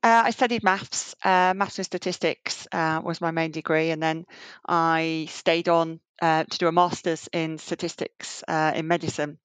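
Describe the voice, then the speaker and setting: A woman's voice: low-pitched, fairly even intonation, moderate pace, smooth, no echo. Chatty woman, dry close sound. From Yorkshire, England. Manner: chatty, many fillers and restarts. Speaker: guest, banking executive. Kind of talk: remote interview conversation.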